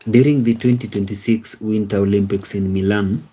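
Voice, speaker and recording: A man in his thirties hosts a relaxed livestream, talking straight to his viewers. His voice sits low and mellow with a fairly flat, even delivery. He rambles, repeats words and keeps checking in with "you see?". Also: brisk, husky. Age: 30s. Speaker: livestream host. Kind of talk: casual livestream chat reading viewer comments.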